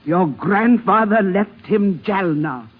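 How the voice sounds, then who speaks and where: Husky, slow-talking ancient matriarch. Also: female voice, mid-range voice, animated. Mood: probing, shrewd. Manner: deliberate, imperious, needling questions. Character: very old matriarch grandmother, shrewd, fretful, commanding. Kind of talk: 1950s radio drama acting. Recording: vintage dull radio recording.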